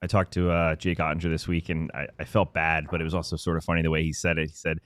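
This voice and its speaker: A man's voice: very deep voice, little pitch movement, fast, no room sound, studio quality. A man talking casually as a panelist on a sports podcast.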